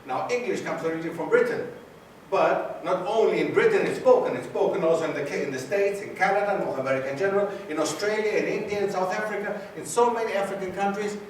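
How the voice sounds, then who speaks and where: A man's voice: high-pitched, fast, slight background noise. A man giving a lecture to a student society, questioning the audience and repeating his key points. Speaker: guest lecturer. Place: lecture hall. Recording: very echoey hall from far off.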